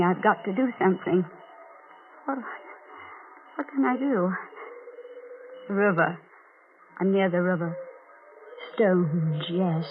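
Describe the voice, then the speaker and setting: An actress playing a distraught, jealous young woman who killed her sister, speaking in halting, fretful repetitions in 1940s radio style. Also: medium pitch, natural voice. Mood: frantic, then desperate scheming. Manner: halting, fretful, repeating herself. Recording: tinny band-limited 1940s radio with music.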